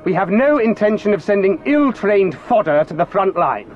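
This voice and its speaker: A man's voice: high voice, sing-song, moderate pace, muffled recording, soft background music. A man playing a smug, coldly menacing imperial officer in a video game, speaking with formal, theatrical condescension and false reassurance. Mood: smooth menace. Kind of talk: video game cutscene acting.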